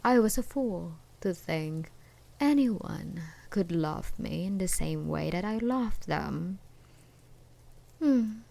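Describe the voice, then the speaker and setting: A woman's voice: lowish voice, animated, vocal fry, heavily acted. A woman playing an obsessive, menacing jilted ex-girlfriend in an intimate ASMR roleplay, drawing out her taunts. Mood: bitter, possessive. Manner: slow, menacing, drawn-out taunting with dark chuckles and whispers.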